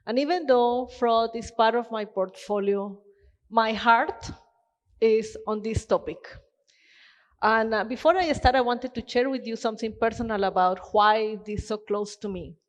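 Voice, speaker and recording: A woman's voice: on the high side, moderate pace. A woman from Colombia with an accent, giving an earnest, passionate conference presentation with frequent fillers. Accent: Colombian-Canadian Spanish-tinged English. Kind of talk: keynote presentation. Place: conference hall. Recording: long hall reverb.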